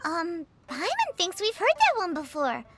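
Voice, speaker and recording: A woman voicing a tiny, cheeky, childlike fairy companion in a game, squeaky and chirpy with a blunt way of talking. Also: wildly swooping pitch, measured pace, heavy background noise, heavily acted. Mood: curious. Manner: chirpy, blunt, speaks of herself in third person. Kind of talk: scripted game cutscene voice acting. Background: prominent game music.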